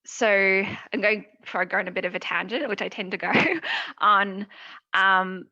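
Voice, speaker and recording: Woman, panelist, gravelly, bright, dry dull recording. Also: mid-range voice, moderate pace, no room sound. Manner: rambling, casual, many likes and ums. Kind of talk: panel discussion.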